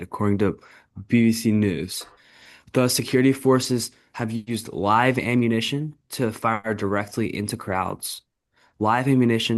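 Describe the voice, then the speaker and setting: A teenage boy co-hosting a student podcast, reading a prepared report emphatically and repeating key points. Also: medium pitch.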